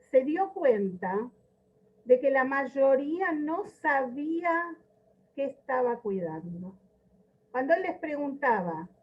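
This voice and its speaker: A woman giving an informal history lecture with storytelling, speaking emphatically and repeating words for weight, over a video call. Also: sits high. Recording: dull, lo-fi video call audio.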